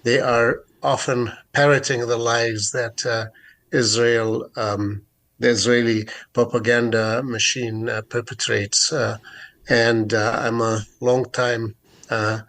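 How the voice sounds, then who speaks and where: A man's voice: mid-range voice, level tone, slow, a bit bright. Hesitant man from Jerusalem, radio guest. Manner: hesitant, many fillers and restarts. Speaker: guest activist. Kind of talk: radio interview.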